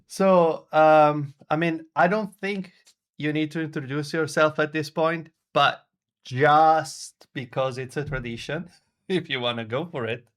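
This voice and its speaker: Wry, slightly high-voiced male co-host. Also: steady tone, unhurried, fairly bright tone. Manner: wry, laughs a lot. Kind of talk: casual tech podcast chat.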